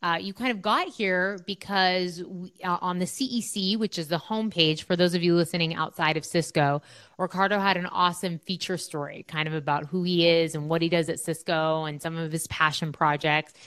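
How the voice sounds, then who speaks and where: Lower-pitched woman hosting a podcast. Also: fairly even intonation, moderate pace, fairly bright tone, clear, resonant tone, dry recording, studio quality. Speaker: podcast host.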